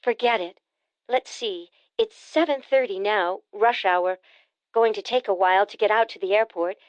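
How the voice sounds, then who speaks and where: A woman narrator voicing a brisk, teasing adult sister in an audiobook, pushy and quick to needle. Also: mid-range voice, no room sound, muffled recording, natural voice. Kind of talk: audiobook character voice.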